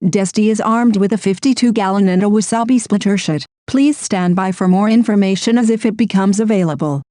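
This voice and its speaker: A woman's voice: medium pitch, brisk, warm tone, dry recording, studio quality. A woman reading a scripted emergency warning as an alert announcer.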